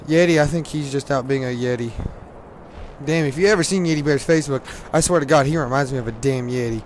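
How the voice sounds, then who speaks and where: A hoarse teenage man from Louisiana, rambling casually with lots of fillers and laughs while commenting on a game at a desk mic. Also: medium pitch, quick pace, a little hiss or hum. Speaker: gaming commentator.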